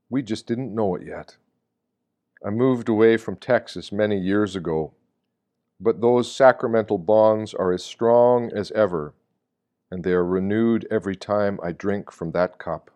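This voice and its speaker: A man reading a scripted devotional reflection in a measured, reflective manner, often with rhetorical weight. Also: fairly low pitch, warm tone. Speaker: reader of Lenten meditations.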